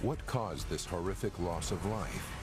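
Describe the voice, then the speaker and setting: A man's voice: sits low, unhurried. A man with an American accent reading dramatic documentary narration in a grave, suspenseful manner. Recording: loud dramatic music underneath. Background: dramatic score.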